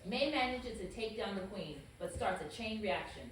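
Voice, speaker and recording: A woman's voice: mid-range voice, lively intonation, moderate pace. A woman reading out stage directions as narrator in scripted narration at a comedy table read. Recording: very echoey room, distant mic, faint hiss.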